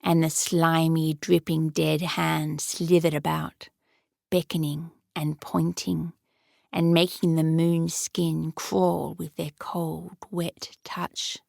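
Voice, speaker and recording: A woman narrating a fairy-tale audiobook in a measured storytelling manner. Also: sits low, little pitch movement, gravelly, a little mellow, dry recording, studio quality.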